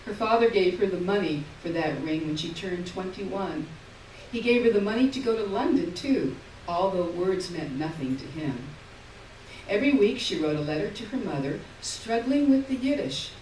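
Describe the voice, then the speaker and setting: A woman reading literary fiction aloud on stage in a lyrical, measured way, heard from far off in an echoey hall. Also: medium pitch, expressive, a little mellow, a little hiss or hum. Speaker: reader. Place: theater stage.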